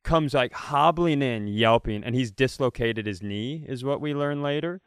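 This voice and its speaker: Male comedy podcast host: smooth, clean voice, dry close sound, animated casual storyteller. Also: mid-range voice, moderate pace, no echo.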